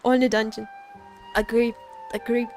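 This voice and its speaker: Girl streamer chatting to her viewers, kinda high voice, takes it slow, teasing and playful, music in the back. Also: flat delivery, a little mellow. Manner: playful, teasing, giggly, reads and answers viewers' comments. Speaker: live game streamer. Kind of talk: casual livestream chat with gaming commentary. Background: background music.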